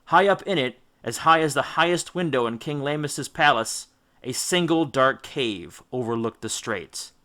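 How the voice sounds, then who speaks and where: A man reading an epic story aloud as an audiobook, with theatrical, emphatic delivery and deliberate dramatic stresses. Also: medium pitch, animated, pushed and bright. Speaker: audiobook narrator. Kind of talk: dramatic scripted storybook narration.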